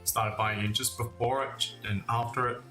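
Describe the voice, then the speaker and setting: A man's voice: medium pitch, steady tone, normal speed, bright, forceful tone. A man hosting a live trading stream with casual, conversational market commentary, talking directly to his viewers as mates. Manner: conversational, addressing viewers as mate and guys. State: recovering from cough and lost voice. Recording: roomy echo, faint music.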